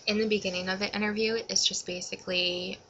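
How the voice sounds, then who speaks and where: A woman's voice: mid-range voice, level tone, fast talker, bright, forceful tone, muffled recording, slight background noise. A young adult woman giving casual advice in a vlog, talking in an informal, rambling and slightly self-conscious way. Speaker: vlogger.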